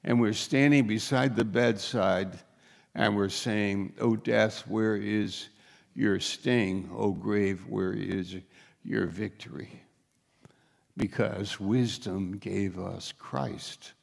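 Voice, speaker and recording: An older man, a pastor, preaching slowly and emphatically from a lectern on a church stage. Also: lowish voice, fairly even intonation, creaky. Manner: slow, emphatic preaching, rising to urgent questions. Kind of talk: sermon.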